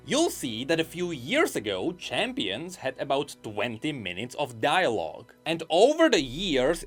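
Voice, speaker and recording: A man giving scripted commentary on game lore, animated and explanatory. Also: mid-range voice. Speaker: video essayist on game lore.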